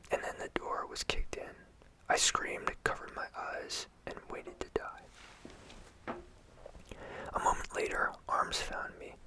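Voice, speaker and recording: A storyteller whispering a first-person horror story in a hushed, intimate and steady way. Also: low-quality recording.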